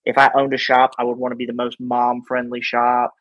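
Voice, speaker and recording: A man with a mid-range voice hosts a relaxed podcast interview. He talks informally and with plenty of slang, putting his opinions in as he asks questions. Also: mid-range voice, a little fast, lo-fi sound. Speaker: podcast host. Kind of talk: casual interview chat.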